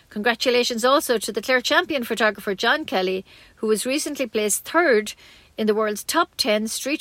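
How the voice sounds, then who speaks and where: A woman reads a community radio news bulletin, her voice set a little high and recorded close and dry in a small studio. She keeps a measured, friendly pace and now and then trips over a word. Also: a bit bright, no echo. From Ireland. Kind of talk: read local news bulletin.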